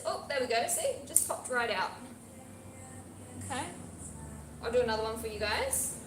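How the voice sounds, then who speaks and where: Bright-voiced Australian woman teaching baking. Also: sits high, roomy sound, a little hiss or hum. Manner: chatty, encouraging, talks while working with her hands. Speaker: pastry instructor. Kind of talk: live cooking demonstration. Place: teaching kitchen at a pastry academy.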